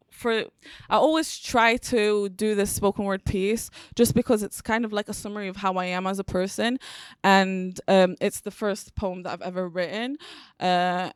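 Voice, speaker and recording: A woman's voice: medium pitch, clear, resonant tone, no echo, clean studio sound. A young woman of twenty, a spoken word poet at a panel event, chatting about her pieces and then reciting them while seated with a handheld mic, a little shaky. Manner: chatty, self-deprecating asides. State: a bit shaky. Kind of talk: performing spoken word poetry. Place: panel event in a city venue.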